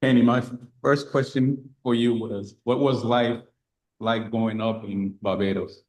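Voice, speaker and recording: Slow, deep-voiced host in echoey room. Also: male voice, steady tone, a little mellow. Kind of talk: scripted interview intro and questions.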